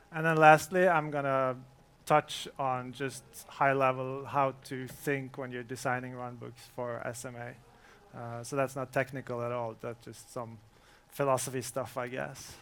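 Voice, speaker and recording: Smooth-voiced man giving a casual tech talk. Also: mid-range voice. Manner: relaxed, joking, many fillers. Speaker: conference presenter. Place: conference room.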